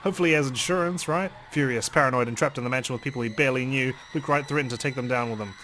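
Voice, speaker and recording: A man's voice: medium pitch, rapid-fire, bright, forceful tone, slight background noise. A man hosting a let's play, reading a visual novel aloud dramatically and commenting on it.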